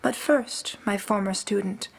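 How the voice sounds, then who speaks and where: An ancient pony princess, acted by a woman, regal and solemn. She speaks in measured, formal phrases in a middle register, as in a fan-made radio play. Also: medium pitch, gravelly, husky, heavily acted. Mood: gracious, wise. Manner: formal, measured, grave diction.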